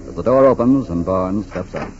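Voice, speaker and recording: A man narrating a scripted 1940s radio crime story in a formal, measured way. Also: low-pitched, a little mellow, soft background music. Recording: muffled 1940s radio recording.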